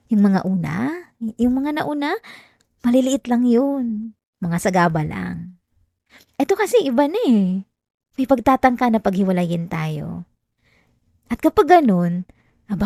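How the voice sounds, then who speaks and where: A woman's voice: fairly high pitch, vocal fry, dark tone, dry recording, studio quality. A woman with a Filipino accent playing an obsessive, possessive girlfriend in an intimate ASMR roleplay, coaxing and clingy with veiled menace. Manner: clingy, coaxing, breaking into giggles, veiled threats. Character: possessive obsessive girlfriend, sweetly menacing, unhinged yandere lover. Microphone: close dry microphone.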